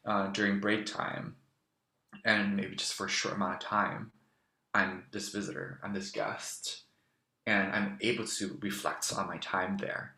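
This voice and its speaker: Young man from Taiwan, bright and roomy. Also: on the low side, flat delivery, measured pace, vocal fry. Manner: thoughtful, musing, with soft fillers. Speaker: interview guest. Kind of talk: casual reflective answers. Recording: roomy echo.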